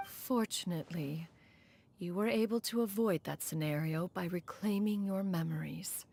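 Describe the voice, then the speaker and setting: A woman voicing a dignified, aloof immortal crane mentor in a video game, speaking in formal, archaic, measured phrases. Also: fairly low pitch, creaky, darkish tone. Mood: measured, wise. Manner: formal, archaic, measured, calls herself one.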